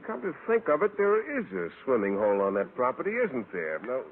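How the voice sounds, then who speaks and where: A mild, earnest male science teacher in an old radio comedy. He sounds politely oblivious, with lively inflection, on a tinny recording. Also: medium pitch, brisk. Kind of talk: 1940s-50s radio sitcom acting. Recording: tinny vintage radio recording, faint noise.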